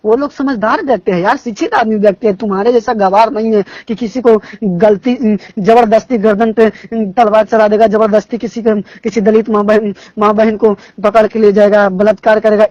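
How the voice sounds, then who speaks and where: A young man with a Bihari accent speaks in a notably high voice. He is impassioned and indignant, repeating phrases for emphasis in informal political talk. The sound is a dry, slightly muffled phone call recording. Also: darkish tone, no room sound. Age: young adult. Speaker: phone caller discussing local politics.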